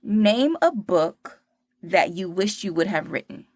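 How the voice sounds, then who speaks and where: A woman hosting a podcast interview, asking questions in an upbeat, brisk way. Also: medium pitch, very animated, dry recording, clean studio sound. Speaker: podcast host.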